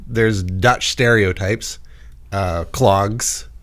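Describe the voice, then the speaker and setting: A man co-hosting a podcast, playful and joking, often pausing on fillers in casual chat. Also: fairly low pitch, fairly even intonation, fairly bright tone. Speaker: podcast co-host.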